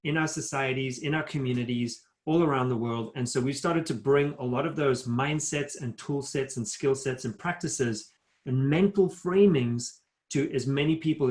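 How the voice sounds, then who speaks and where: A middle-aged man from Africa, giving an earnest, emphatic motivational talk as a guest speaker over a video call. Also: mid-range voice. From South Africa. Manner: earnest, emphatic, builds points in lists. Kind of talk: motivational talk at a virtual town hall.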